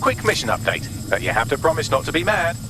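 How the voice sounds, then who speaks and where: Cheeky, sardonic game AI helper: fast, very lively, wry and wordy, over street noise. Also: male voice, on the high side. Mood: smug. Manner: glib, wry, wordy delivery. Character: witty, smug male AI assistant, cheeky and sardonic. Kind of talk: video game voice acting.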